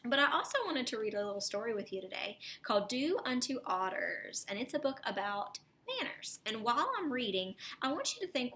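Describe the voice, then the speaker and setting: A woman with a bright, high voice and very lively intonation, speaking as a teacher doing a children's read-aloud. She explains things expressively, over faint background noise.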